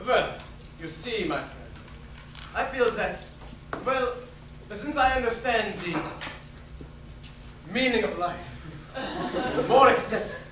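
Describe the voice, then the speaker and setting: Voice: medium pitch, expressive, takes it slowly, long pauses. An amateur actor playing a pompous, mystical writer in a stage play, speaking in a grandly philosophical, fatalistic way. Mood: self-important. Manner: pompous, portentous. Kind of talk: amateur dramatic reading of a play. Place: echoey room, far from the mic. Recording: very echoey, distant, noisy room recording.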